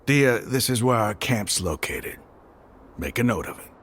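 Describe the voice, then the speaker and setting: A man's voice: medium pitch, expressive, fairly bright tone, a little hiss or hum. A man playing a proud desert faction leader in a video game, dramatic and impassioned, shaken by remorse. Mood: humbled. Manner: impassioned, dramatic, halting when humbled.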